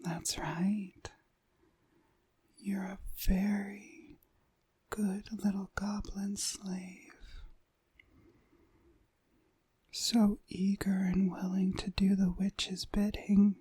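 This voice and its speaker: A woman playing a dominant, seductive witch mistress in a whispered ASMR hypnosis roleplay, breathy, soothing and commanding. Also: medium pitch, level tone, very slow, pauses often, warm tone, a little hiss or hum.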